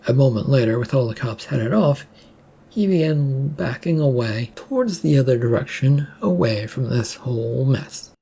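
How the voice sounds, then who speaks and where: A storyteller with a creaky, husky voice narrates a written tale. The delivery is soft-edged and a little halting, with a middling pitch. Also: animated. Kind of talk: scripted narration of a serial story, read aloud.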